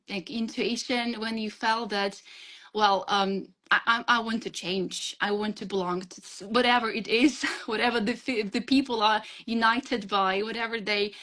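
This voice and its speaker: A young woman from Ukraine with a Ukrainian accent, Russian as her first language, answering interview questions earnestly on a video call, pausing often with fillers and restarts. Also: fairly high pitch, a bit bright. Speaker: guest teacher.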